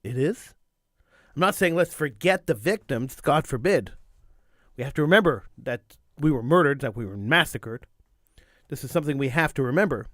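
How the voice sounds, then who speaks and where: A man's voice: medium pitch, lively intonation, normal speed, a bit bright, no echo, clean studio sound. A middle-aged man hosting a talk radio show, speaking emphatically and repeating phrases for stress, with a slight cold wearing on his voice. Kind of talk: impassioned talk radio monologue.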